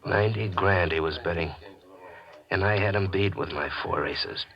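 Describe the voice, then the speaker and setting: Low-voiced, desperate 1940s radio gambler. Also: male voice. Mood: suspenseful narrating. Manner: dramatic, tense, deliberate storytelling. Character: bitter, desperate male gambler telling his own story. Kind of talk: 1940s radio mystery acting, first-person narration. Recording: dull 1940s radio recording, organ music.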